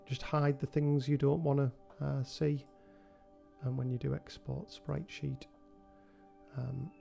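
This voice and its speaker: A man with a cold hosting a relaxed software tutorial, talking through each step with frequent ums. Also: mid-range voice, creaky. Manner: relaxed, thinking aloud with many ums. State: has a cold. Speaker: game developer tutorial host. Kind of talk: screen-recorded software tutorial.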